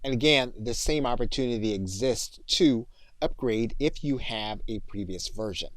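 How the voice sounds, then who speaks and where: A man giving instructional tutorial narration as a course instructor, in a measured, explanatory way. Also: mid-range voice, animated, no room sound.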